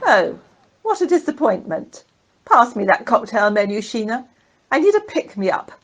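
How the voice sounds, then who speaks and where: A woman's voice: a little high, very animated, normal speed. A woman playing a flirtatious, drink-loving holidaymaker in an amateur radio comedy, gushing and saucy, recorded over a telephone conference. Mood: dramatic, thirsty.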